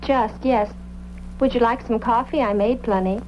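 An actress playing a polite, talkative witness in a radio drama, plain-spoken and mildly wry. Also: female voice, on the high side, fairly even intonation, normal speed, natural voice. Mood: earnest, chatty. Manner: chatty, hospitable, plain-spoken. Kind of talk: old-time radio drama acting. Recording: muffled, faintly noisy old radio recording.

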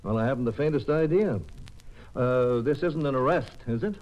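A man's voice: medium pitch, normal speed, a little mellow, faint music behind, lightly acted. A man playing a glib, falsely genial oil swindler who turns hesitant when pressed, in an old radio crime drama. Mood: uneasy, guarded. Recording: dull old radio broadcast.

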